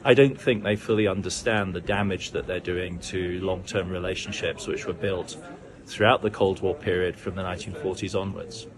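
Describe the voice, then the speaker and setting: A man with a very low voice offers political commentary in an articulate, critical manner. A crowd can be clearly heard around him. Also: steady tone, moderate pace.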